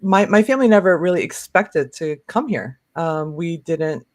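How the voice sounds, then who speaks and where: A woman of fifty from Lebanon and Michigan, telling personal stories casually and haltingly, often saying "you know" and "like". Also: on the low side, lively intonation, a little mellow, no room sound, studio quality. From born in Lebanon, raised in Michigan. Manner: hesitant, peppered with "you know" and "like". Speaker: interview guest, filmmaker.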